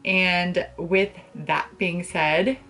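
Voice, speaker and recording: A young woman chatting casually to the camera as a book vlogger, with an expressive, gushing and emphatic way of talking. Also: fairly low pitch, extremely slow, soft background music. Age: young adult. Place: small bedroom, indoors.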